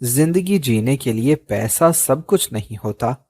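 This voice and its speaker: A man reading a gently pleading aunt. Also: medium pitch, expressive, unhurried, no echo. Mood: earnest. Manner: earnest, pleading, advising. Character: gentle middle-aged aunt giving calm advice.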